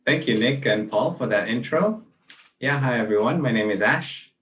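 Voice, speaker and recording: A man's voice: medium pitch, expressive, moderate pace, slight background noise. A young man from Malaysia and Brunei, a podcast guest talking earnestly and reflectively about his work. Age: young adult. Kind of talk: casual interview. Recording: roomy audio from a distance.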